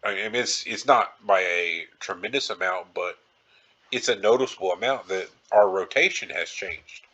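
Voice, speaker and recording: A man's voice: deep voice, normal speed, pushed and bright, muffled recording. A man hosting a podcast solo in a casual, rambling style, informal and circling back to repeat his phrases. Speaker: podcast host.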